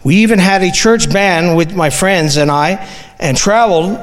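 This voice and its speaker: A middle-aged man with a Newfoundland accent reads his own testimony to a church, plain and earnest, stumbling now and then. His voice sits high for a man, and a long reverb trails it through the hall. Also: normal speed. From Newfoundland, Canada. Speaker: guest giving a church testimony. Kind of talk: reading a personal testimony aloud.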